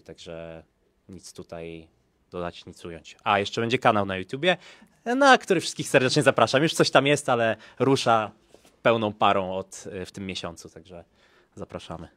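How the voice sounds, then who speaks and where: A man in his thirties, a professional windsurfer, answering at a press conference in a relaxed, chatty way with many fillers, into a handheld mic. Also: sits low, no room sound. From Poland. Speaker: pro windsurfer. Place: small conference room, indoors. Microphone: handheld microphone.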